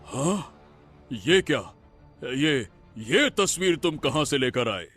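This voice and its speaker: A man's voice: medium pitch, big pitch swings, slow, a bit bright, soft background music. A man playing a menacing, murderous king disguised as a prince in a dramatic cartoon story. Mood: suspicious, startled.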